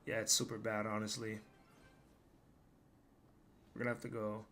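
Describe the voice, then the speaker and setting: Hoarse young man streaming a game. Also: on the low side, completely flat delivery, normal speed, soft background music. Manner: profane, rambling, bursts into loud yelling. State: hoarse, losing his voice. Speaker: gaming streamer. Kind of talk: casual live gameplay commentary.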